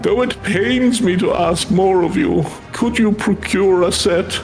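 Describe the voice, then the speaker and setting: A man's voice: high voice, a little slow, darkish tone, pitched above the performer's own voice. A man voicing a courtly, earnest fantasy craftsman, devout and grateful, speaking quest dialogue in formal, flowery phrasing. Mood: courteous. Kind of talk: voiced reading of fantasy game quest dialogue. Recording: music behind the voice.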